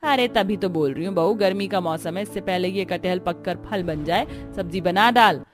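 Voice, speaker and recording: A woman's voice: on the low side, normal speed, natural voice. A woman acts a middle-aged mother-in-law in a domestic cartoon. She is bossy and insistent, pushing her point firmly. There is faint music underneath. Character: pushy, haggling middle-aged mother-in-law who brooks no refusal.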